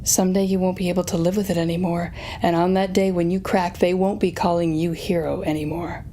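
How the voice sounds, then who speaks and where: A woman's voice: fairly low pitch, level tone, mellow, slight background noise, close to an everyday voice. A woman playing a sardonic, telepathic supervillain in an audio roleplay, speaking straight to the listener with dramatic, wry exasperation. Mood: menacing, smug. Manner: dramatic, wry, exasperated, halting when flustered.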